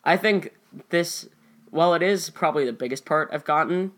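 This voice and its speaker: A man's voice: on the high side, normal speed, fairly bright tone. A young American man chatting casually as an actor guest on a podcast. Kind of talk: casual chat.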